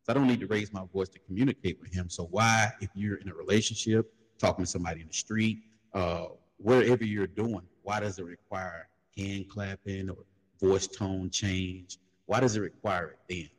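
A man talks in an easy, laid-back way and tells street stories. His voice sits low and a little husky. A long reverb trails behind every phrase. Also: steady tone, normal speed. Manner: laid-back storytelling, slips into loud high mocking voices when quoting others. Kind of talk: casual panel discussion.

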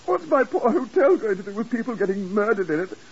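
A self-pitying hotel proprietor in an old radio drama. He gobbles and laments in a high-pitched, badly husky male voice. The recording is a dull vintage broadcast. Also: big pitch swings, fairly quick, full character voice. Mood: lamenting. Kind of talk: 1950s radio drama acting.

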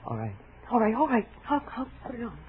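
An actress playing a wary, indignant young stage dancer in a 1940s radio thriller, with a brassy, stammering, defensive delivery. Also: female voice, on the high side, huge pitch swings, fast. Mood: reluctant, nervous. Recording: noisy, narrow-band 1940s radio recording.

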